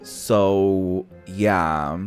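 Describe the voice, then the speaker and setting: A man's voice: low voice, fairly even intonation, very slow, soft background music. A man giving casual, sassy, digressive game commentary in slangy speech. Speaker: gaming streamer.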